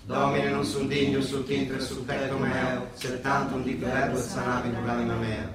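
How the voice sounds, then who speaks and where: An actor playing a bustling, grumbling but fond monsignor with a Roman accent, in a theatrical radio drama style. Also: male voice, mid-range voice, completely flat delivery, normal speed, a loud crowd, lightly acted. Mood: solemn, liturgical. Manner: colloquial, chivvying, muttering and exclaiming. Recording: echoey stage-like sound with music behind.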